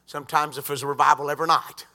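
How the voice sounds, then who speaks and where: A man preaching a sermon in a church, swinging from quiet talk to shouted crescendos. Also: on the high side, little pitch movement, very fast.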